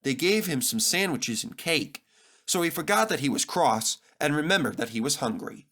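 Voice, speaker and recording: A man reading a children's story aloud as narrator, in an expressive, dramatic, scripted style. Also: a little high, moderate pace.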